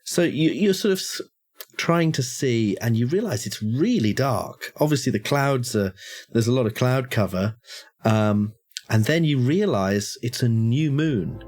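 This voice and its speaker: A man's voice: mid-range voice, animated, unhurried, darkish tone. A man narrating a tabletop horror game as game master, hesitant with many restarts.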